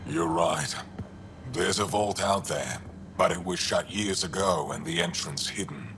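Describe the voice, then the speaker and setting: An actor playing a gruff, distrustful male alien resistance commander in a video game, curt and stern. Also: deep voice, measured pace, a bit bright, slight background noise, heavily acted. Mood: grim, dismissive. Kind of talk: video game dialogue acting.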